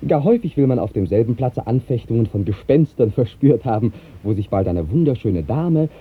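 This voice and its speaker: Solemn minstrel with swooping, declamatory delivery. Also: male voice, medium pitch, animated, a little fast, husky. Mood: grave, mysterious. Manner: declamatory, poetic phrasing. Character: devout, solemn male minstrel poet, knowing storyteller. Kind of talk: 1950s German radio drama acting. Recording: tinny, band-limited 1950s radio recording.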